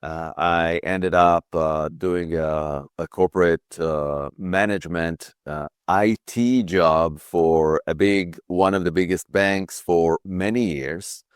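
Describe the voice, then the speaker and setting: A man in his sixties from Israel, a guest healer telling his story slowly with frequent pauses. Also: deep voice, fairly even intonation, clear, resonant tone, no room sound, clean studio sound. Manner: slow, measured, with frequent pauses and fillers. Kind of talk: interview conversation.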